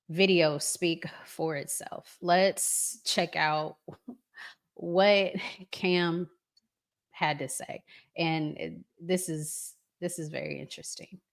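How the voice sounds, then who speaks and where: A woman hosting a live stream, giving casual, conversational commentary to viewers with deliberate pauses. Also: low voice.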